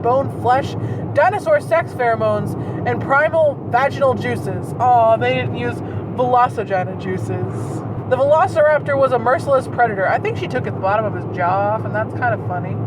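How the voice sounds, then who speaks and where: A man reading a story aloud and breaking in with incredulous, profane, casual commentary. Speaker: host reading bad fan fiction aloud. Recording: very noisy, distant mic, outdoor-like rumble.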